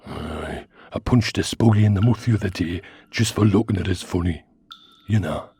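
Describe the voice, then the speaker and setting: Comic creature role: chatty Geordie giant worm, dark gravel-husky voice, rambling and cheeky. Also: male voice, on the low side, normal speed, no room sound. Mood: grumbling. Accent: Geordie, northeast England. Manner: rambling, blunt, colloquial. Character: cheeky, chatty twenty-foot talking lantern worm. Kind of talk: comic radio sitcom acting.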